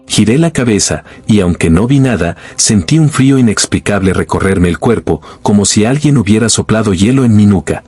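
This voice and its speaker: A man reading a scripted first-person horror story in a slow, grave, suspenseful way. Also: fairly low pitch, animated, a little mellow, breathy edge, no echo, soft background music. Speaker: narrator.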